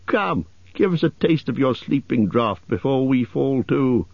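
A man voicing merry, impatient elves in an audiobook, exclaiming loudly and teasingly. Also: mid-range voice, lively intonation, measured pace, no echo, highs cut off, pitched above the performer's own voice. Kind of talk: audiobook character voices.

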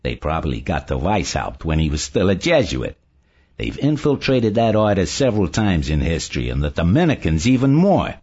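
A man's voice: deep voice, very animated, normal speed, a little mellow, breathy edge, pitched below the performer's own voice, heavily acted. A man voicing a confident, eager male investigator in audiobook dialogue, explaining emphatically, on a dull lo-fi recording. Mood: emphatic, triumphant.

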